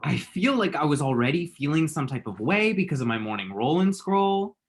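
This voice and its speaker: A man's voice: a little high, sing-song, moderate pace. A man acting a dramatic, lovestruck man in his late twenties in a rom-com script read, rambling and quippy. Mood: giddy, dramatic. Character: dramatic, lovestruck, scrolling-obsessed twenty-seven-year-old man. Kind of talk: screenplay table read, rom-com dialogue.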